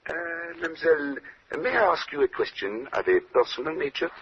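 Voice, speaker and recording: An actor playing a brilliant, self-assured Belgian detective with a French-speaking accent, speaking precisely and courteously in old-time radio drama style. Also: male voice, on the high side, expressive, a little fast, slightly rough. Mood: courtly, sly. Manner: precise, lecturing, punctuates points with questioning "eh?". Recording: dull, noisy old radio recording.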